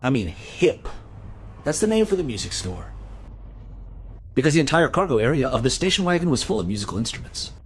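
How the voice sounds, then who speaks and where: Animated, chatty male shopkeeper played by an actor. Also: mid-range voice, fairly quick, pauses often, a little hiss or hum, close to an everyday voice. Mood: gossipy, animated. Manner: rambling, colloquial storytelling. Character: chatty, gossipy male tobacco shop keeper. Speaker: voice actor in a crime audio drama. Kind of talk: crime radio play dialogue.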